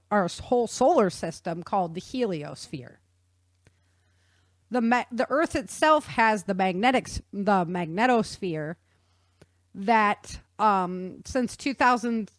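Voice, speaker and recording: A woman's voice: mid-range voice, no echo, studio quality. A woman presenting an informal explanatory science talk in a casual, conversational, slangy way. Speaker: author and presenter.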